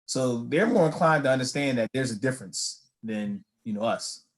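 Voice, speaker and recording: A man from the United States hosting a casual faith chat, reading viewer comments aloud and speaking haltingly. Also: medium pitch, expressive, poor audio. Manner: hesitant, many fillers and restarts.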